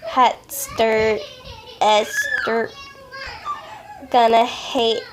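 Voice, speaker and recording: A woman reading a story aloud as an amateur, haltingly, often stumbling over words and correcting herself. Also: a little high, steady tone, background noise. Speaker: amateur fan fiction reader.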